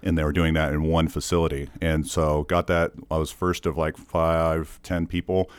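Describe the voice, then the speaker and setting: A man's voice: a bass voice, level tone, moderate pace, clear, resonant tone, dry recording. A man in his forties who grew up in the Netherlands with Dutch as his first language, a podcast guest talking in a thoughtful, rambling way with restarts. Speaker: podcast guest, corporate communications leader. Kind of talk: casual interview chat.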